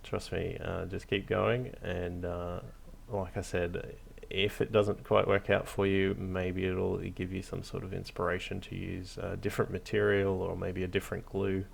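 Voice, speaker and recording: A man hosting a hobby tutorial, narrating casually off the cuff in a hesitant, low-key, encouraging way. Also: completely flat delivery, normal speed, vocal fry, hardly any pauses, slightly rough. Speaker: hobby craft tutorial host.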